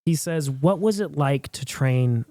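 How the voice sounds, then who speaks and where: A man's voice: on the high side, lively intonation, a little slow, mellow, no echo. A man co-hosting a podcast, debating casually and enthusiastically. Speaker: podcast co-host.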